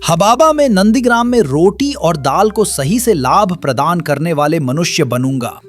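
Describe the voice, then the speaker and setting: A man's voice: a little high, animated, normal speed, soft background music, natural voice. A man playing a humble, pious, poor villager, earnest and reverent, in a children's cartoon. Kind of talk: Hindi cartoon moral-tale voice acting.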